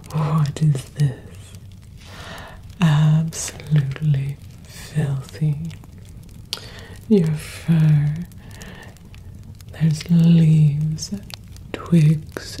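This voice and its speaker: Very slow, whispering young woman. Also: sits low, dark tone, a little hiss or hum, rough recording. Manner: whispering, slow, soothing, drawn-out. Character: doting, mischievous witch pampering her cat familiar. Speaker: ASMR performer. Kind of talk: whispered ASMR roleplay. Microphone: close ASMR microphone.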